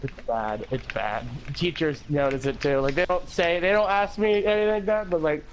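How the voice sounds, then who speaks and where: Casual teen male streamer, slight lisp, rambling and animated, light hiss on the line. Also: normal speed, highs cut off. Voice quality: higher-pitched voice with an occasional lisp. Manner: talkative, rambling with many "like"s, swearing, animated laughs. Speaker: livestream host. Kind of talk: casual chat on a game livestream.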